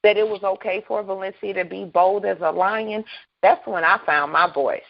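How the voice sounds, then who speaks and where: A woman hosting a faith talk radio show, loud and booming, bold and animated, laughing often. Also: normal speed, gravelly. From Southern United States. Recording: dull lo-fi sound.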